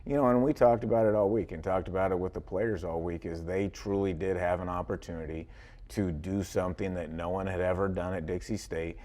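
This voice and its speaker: A man's voice: low voice, steady tone, fairly quick, a little mellow. A man with an American accent, a football coach talking conversationally in a sports interview, with folksy wording and repeated phrases.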